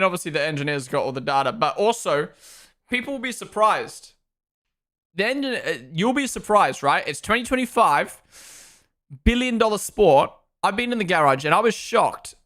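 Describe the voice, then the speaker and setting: A young man with a hybrid Kiwi-Australian accent, chatting through a live racing watchalong. His voice is bright and clear, fairly high for a man, and he talks loosely with quick asides and sudden loud reactions. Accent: mixed New Zealand and Australian. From New Zealand. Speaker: streamer hosting a watchalong. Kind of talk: casual live commentary, reading chat.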